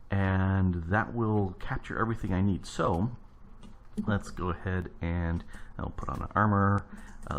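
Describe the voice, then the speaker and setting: Low gravelly man narrating gameplay. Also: slight background noise. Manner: rambling, thinking aloud while building. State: gravelly early-morning voice. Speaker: let's play host. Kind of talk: casual gameplay commentary.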